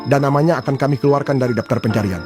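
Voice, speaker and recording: A man plays a firm police officer in a cartoon drama. He talks quickly in a formal, authoritative way, with music clearly behind him. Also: mid-range voice, close to an everyday voice. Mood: calm, firm. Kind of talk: animated drama dialogue.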